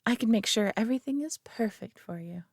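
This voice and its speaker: A woman playing a prideful, possessive alien being in a roleplay audio drama, talking down to the listener with coaxing, deliberate care and dropping into whispers. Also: fairly high pitch, expressive, slightly rough, no echo, studio quality. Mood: condescending, soothing. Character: prideful, possessive female superior alien being, condescendingly caring.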